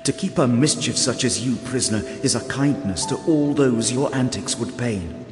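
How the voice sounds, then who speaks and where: An actor playing a proud, stern elf prince in an audio drama, speaking with formal, commanding gravity. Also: male voice, mid-range voice, normal speed, lightly acted. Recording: echoing cavern reverb with music.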